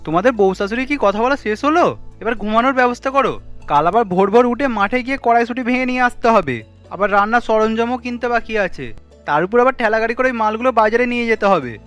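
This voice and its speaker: A young village husband from a cartoon, practical and supportive. He talks quickly in a high male voice, over faint music. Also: expressive, a bit bright. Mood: brisk, practical. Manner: brisk, practical. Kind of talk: cartoon character acting.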